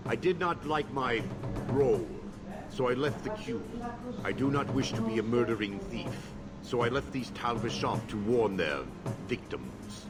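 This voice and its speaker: An actor playing a stern Qunari outcast mercenary in a fantasy video game, speaking in terse, formal, measured phrases. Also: male voice, medium pitch, natural voice. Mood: grim, resigned. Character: stern horned Qunari outcast, a self-scorning male mercenary. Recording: game music behind the voice.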